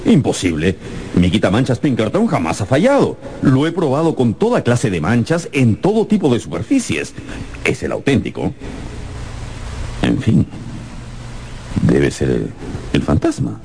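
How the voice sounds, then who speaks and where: A man's voice: mid-range voice, wildly swooping pitch, a little slow, pauses often, warm tone, slight background noise. An actor playing a proud, practical American diplomat and father, formal and pompous, in a Spanish-language radio drama. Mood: baffled, insistent.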